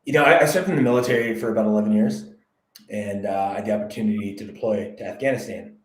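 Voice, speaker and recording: A man from the United States, a realtor guest on a podcast, telling his story in a candid, reflective, measured way. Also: lowish voice, echoey room. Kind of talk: podcast interview.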